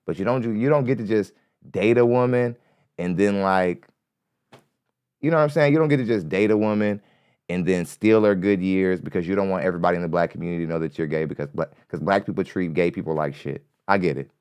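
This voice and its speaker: Male podcast host, light-voiced, rambling and profane, smooth tone, close dry mic. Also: low-pitched, a little fast, a little mellow, no room sound. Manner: rambling, repeats himself, curses freely. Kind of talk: casual commentary on a clip. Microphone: studio mic.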